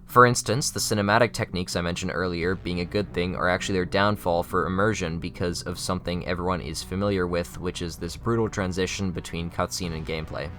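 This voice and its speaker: A man's voice: low-pitched, steady tone, fast talker, few pauses, sharp tone. A young man reading scripted narration as the presenter of a video essay. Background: faint music.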